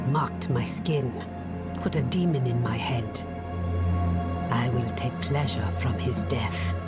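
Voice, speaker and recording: An actor voicing a haunted, vengeful hunter in a video game, grim, measured and resolute. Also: mid-range voice. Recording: loud music behind.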